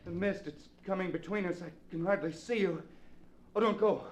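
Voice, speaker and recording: A man's voice: high-pitched, moderate pace, close to an everyday voice. A man acting a distracted, haunted husband in a 1940s radio melodrama, with a theatrical, dreamy manner. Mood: desperate, entranced. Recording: noisy vintage 1940s radio recording.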